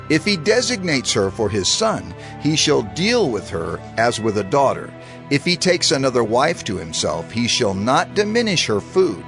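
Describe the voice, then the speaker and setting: Gravelly, emphatic Moses, solemn prophet played by a man. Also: mid-range voice, fairly bright tone. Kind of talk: dramatized scripture audio drama.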